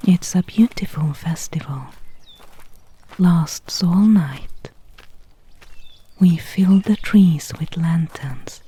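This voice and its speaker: A woman playing a gentle, thoughtful elven ranger in an ASMR fantasy roleplay, speaking in a soft, breathy, intimate whisper. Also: lowish voice, heavily acted. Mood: gentle, fond. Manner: slow, hushed, intimate, unhurried. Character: gentle, thoughtful female elven ranger, kindly toward a human.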